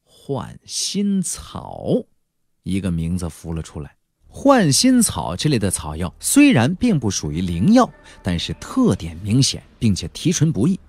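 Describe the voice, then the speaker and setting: A man narrating a fantasy novel as a dramatic audiobook storyteller, theatrical, with drawn-out emphasis and colloquial touches. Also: on the low side, huge pitch swings, darkish tone, breathy edge, dry recording, clean studio sound.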